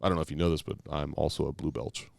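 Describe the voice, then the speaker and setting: Male co-host, deep voice, dry sarcastic wit, casual, close dry mic. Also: flat delivery, rapid-fire, creaky, no room sound. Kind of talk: casual chat.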